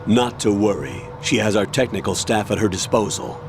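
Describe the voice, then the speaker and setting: An actor playing a stern, authoritative older military colonel in a video game, commanding, measured and formal as he gives a mission briefing. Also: fairly low pitch, slight background noise, lightly acted. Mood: firm, persuasive.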